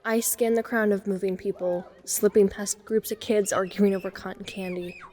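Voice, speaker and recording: A woman's voice: medium pitch, steady tone, moderate pace, warm tone, long reverb tail, a little hiss or hum, close to an everyday voice. A young woman playing an introspective, self-conscious teenage girl who narrates her own story in an audio drama. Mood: wistful. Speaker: narrator and lead character. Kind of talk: first-person dramatic narration.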